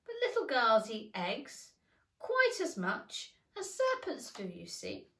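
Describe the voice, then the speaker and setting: A polite, curious little girl in a storybook, played by a woman, speaking earnestly and a touch primly. The voice is high and lilts with lively intonation in an echoey room. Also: slow, vocal fry, exaggerated voice. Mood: doubtful, earnest. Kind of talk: storybook character reading. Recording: roomy, echoing room.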